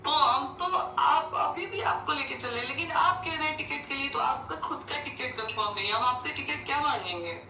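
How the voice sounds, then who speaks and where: A high, bright female voice comes through from far off. She argues insistently and with a sense of grievance, firing rhetorical questions in an echoey, faintly noisy call recording. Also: moderate pace, gravelly, hardly any pauses, soft background music. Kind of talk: phone call, pleading argument.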